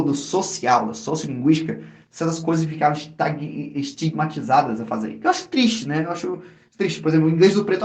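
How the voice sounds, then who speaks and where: A Brazilian man with a Brazilian Portuguese accent, co-hosting a podcast in casual, slangy chat with many restarts. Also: a little high. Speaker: podcast co-host. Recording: echoey room.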